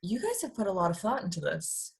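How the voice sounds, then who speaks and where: A woman's voice: medium pitch, sing-song, brisk, creaky, breathy edge, full character voice. A woman reading the part of an earnest female space agent at a comedy script table read.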